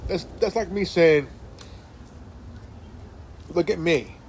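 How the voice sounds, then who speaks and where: A man in his late forties talking in a casual monologue, halting with many fillers and restarts, sitting in a chair indoors. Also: fairly high pitch, slight background noise. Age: 40s. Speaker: commentary channel host.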